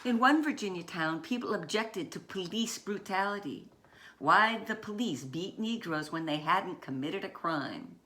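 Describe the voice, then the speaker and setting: A woman author reading her children's picture book aloud in deliberate short phrases, with dramatic emphasis on key words. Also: medium pitch, pushed and bright.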